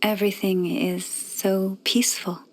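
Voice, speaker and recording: A woman playing a gentle, serene young priestess in a video game, speaking softly, formally and reflectively. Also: medium pitch, flat delivery, lightly acted, low-quality recording. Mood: calm, reflective. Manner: soft, measured, formal, reflective. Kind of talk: scripted video game character voice lines.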